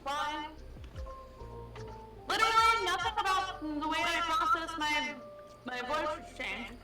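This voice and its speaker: High-voiced woman, very echoey voice chat. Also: measured pace. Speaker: player in a tabletop game stream. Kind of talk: casual voice chat. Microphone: online voice chat microphone. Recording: very echoey, distant, tinny, loud music behind. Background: loud music.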